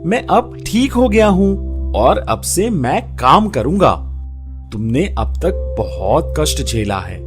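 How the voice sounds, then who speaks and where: A man plays an earnest, eager young village son in a moral tale. He speaks slowly and emphatically with lively swings in pitch, over light music.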